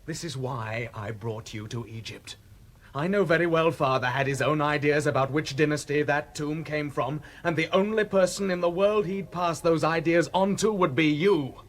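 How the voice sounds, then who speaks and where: Urgent, desperate man in a radio play, higher-pitched. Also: moderate pace, close to an everyday voice. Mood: pleading. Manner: urgent, insistent. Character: desperate, pleading brother turned greedy treasure seeker. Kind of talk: radio drama acting.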